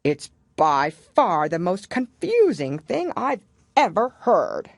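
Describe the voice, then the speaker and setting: A woman voicing a mournful old mock turtle in an audiobook, plaintive and persistent, with a melancholy, drawn-out delivery. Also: lowish voice, huge pitch swings, vocal fry, no echo, clean studio sound, exaggerated voice. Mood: exasperated. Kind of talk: audiobook character voice.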